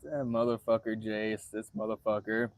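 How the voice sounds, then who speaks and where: A man chatting casually on a livestream in a monotone voice, halting often as he restarts phrases and swearing freely. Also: lowish voice, slight background noise. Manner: frequent swearing. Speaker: livestreamer answering viewer chat.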